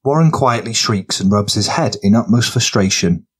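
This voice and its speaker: A man with a fairly low voice narrates fiction. It is scripted audiobook storytelling, read as the narrator. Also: lively intonation, moderate pace, slightly rough.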